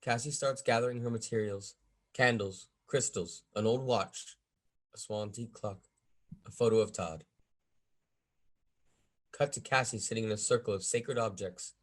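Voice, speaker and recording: A man's voice: on the low side, moderate pace, long pauses. A man reading stage directions as the narrator of a script table read.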